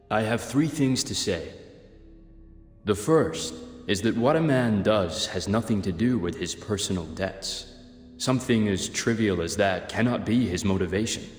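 Guy doing stoic young underworld boss for a video game, kinda deep n formal, echoey w music. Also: close to an everyday voice. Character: solemn young male underworld clan leader, dutiful, grave. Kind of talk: dramatic game cutscene acting. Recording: reverberant game audio with music behind.

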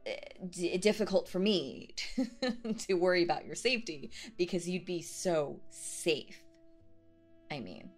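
A woman's voice: fairly low pitch, animated, unhurried, faint music behind. A woman playing a sweet but possessive, obsessive young woman on a date in a roleplay audio, stammering nervously and prone to jealousy. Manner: flustered, stammering, nervous giggles, swinging to jealous. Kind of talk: roleplay audio acting, intimate one-sided dialogue.

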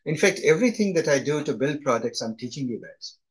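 A man's voice: on the high side, expressive, fairly quick, husky. A middle-aged man with a thick Indian accent, teaching a live class over a video call, chatty and often asking "right?". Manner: conversational, often tags "right?". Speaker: instructor. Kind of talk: live online lecture.

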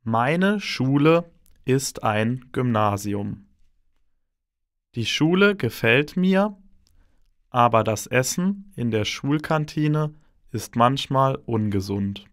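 Man reading exam lines real slow, every word spelled out, dry studio sound. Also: mid-range voice, animated, no echo. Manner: slow and carefully enunciated. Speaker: exam recording speaker.

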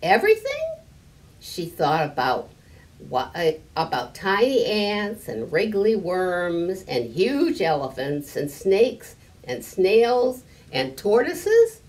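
A woman in her eighties reading a children's storybook aloud, haltingly, now and then repeating a word. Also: on the low side, sing-song, darkish tone. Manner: halting, stumbling and repeating words. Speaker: story time reader. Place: library sitting area indoors.

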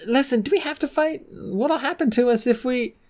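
A reader voicing a big, gentle young male dragon who talks in a hesitant, apologetic way. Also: sits high, moderate pace, voice pushed up, close to an everyday voice.

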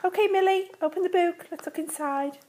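A woman voicing an excitable, silly dog puppet who reads a storybook to a child in a playful, exaggerated way. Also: very high-pitched, slight background noise. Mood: cheerful. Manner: playful, exaggerated, slow dramatic reading to a child. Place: small room at home.

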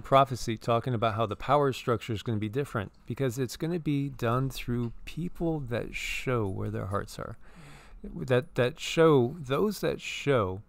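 A man's voice: medium pitch, creaky. A man co-hosting a casual spiritual commentary show, talking in a rambling, earnest way and often repeating words. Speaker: co-host.

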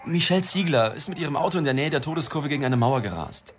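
A man's voice: on the high side, lively intonation, fast, soft background music, natural voice. An actor playing a flippant, evasive newspaper reporter and family man in a wry radio drama style. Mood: placating, apologetic. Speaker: actor, lead and narrator. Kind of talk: radio drama dialogue and first-person narration.

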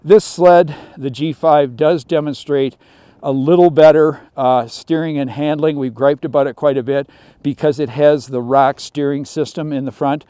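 A middle-aged man presents a snowmobile outdoors among snowy pines, explaining its features in a relaxed, conversational way full of small fillers. His voice is mellow and smooth, and it sounds dry and close, as if from a clip-on mic. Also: a little high, normal speed, dry recording. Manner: casual, explanatory, with frequent fillers. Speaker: snowmobile reviewer. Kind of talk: product walkaround presentation. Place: outdoors in snowy pine woods.

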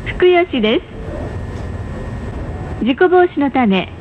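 A woman reading pre-recorded train announcements in a polite, formal, carefully measured manner. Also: lively intonation. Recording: muffled, noisy onboard train speaker recording. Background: rumble of a moving train.

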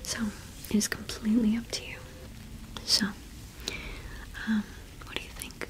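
A young woman doing an ASMR shopkeeper roleplay in a gentle, unhurried whisper with pauses, close to the microphone. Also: on the high side, completely flat delivery, rough recording. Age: young adult. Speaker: ASMR performer playing a cottage witch shopkeeper.

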